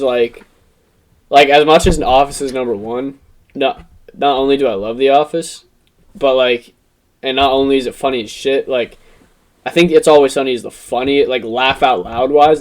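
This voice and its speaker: A young American man from Pennsylvania in casual podcast chat with friends, rambling emphatically and swearing freely. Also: medium pitch. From Pennsylvania, United States. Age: young adult. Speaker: podcast co-host.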